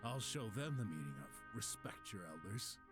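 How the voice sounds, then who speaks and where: A man's voice: on the low side, big pitch swings, normal speed. A man playing an earnest, stubborn, kind-hearted young detective in a video game, protective and insistent. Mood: determined. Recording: game music behind the voice.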